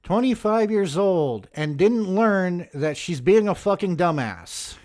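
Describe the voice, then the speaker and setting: A man's voice: sits high, expressive, takes it slowly, a little mellow. A man in his forties reacting to a video with casual, profane, mocking commentary and joking asides. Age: 40s. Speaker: video host reacting to footage.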